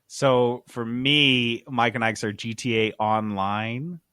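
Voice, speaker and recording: Male podcast host, mid-pitched, joking, teasing, casual talk, dry close recording. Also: fairly even intonation, slow pace, pushed and bright, clean tone, no echo. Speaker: podcast host steering the discussion. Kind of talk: casual banter.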